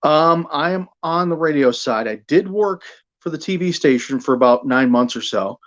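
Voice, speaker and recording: A man's voice: on the high side, animated, fairly bright tone. Middle-aged American man, slightly dull audio. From Buffalo, New York, United States. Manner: halting, repeats words while thinking. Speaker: guest, radio sports broadcaster. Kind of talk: casual interview conversation.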